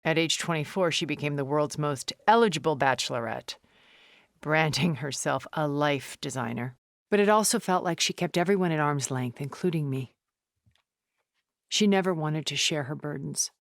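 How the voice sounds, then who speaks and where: Refined, low-voiced, cunning woman. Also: dry recording, clean studio sound. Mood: wistful, confiding. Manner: refined, measured, articulate, formal phrasing. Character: wealthy, cunning, ancient female art connoisseur, refined and wistful. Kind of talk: video game character dialogue.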